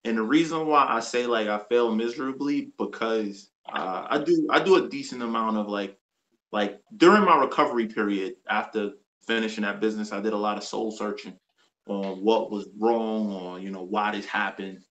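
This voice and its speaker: A man's voice: fairly low pitch, normal speed, a bit bright. A man in his thirties speaking as a livestream guest, earnest and reflective. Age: 30s. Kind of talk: casual live-stream chat.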